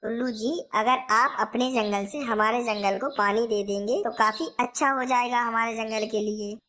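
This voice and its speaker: A woman's voice: fairly high pitch, steady tone, moderate pace, sharp tone. A woman voicing a fair, concerned parrot king with a formal, earnest manner in a children's cartoon.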